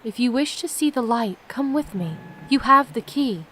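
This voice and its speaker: A peaceful otherworldly being from a video game, voiced by a woman, speaks calmly and formally in a fairly high voice. There is faint noise in the background. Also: moderate pace. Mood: serene, solemn. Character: serene bright otherworldly creature, grateful and inviting.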